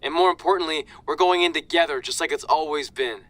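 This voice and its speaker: An actor in a video game cutscene playing a cheerful, goofy retired wrestler who now hosts TV, with an excitable, playful manner. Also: male voice, a little high, a little fast, dry recording, lightly acted. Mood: warm, pleased.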